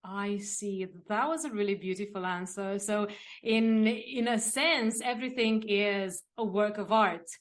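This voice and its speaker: A woman's voice: mid-range voice, steady tone, measured pace. A woman from Romania, a non-native English speaker, hosting an interview and asking questions with many fillers and restarts. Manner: hesitant, with frequent fillers and repeated words. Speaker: podcast interviewer.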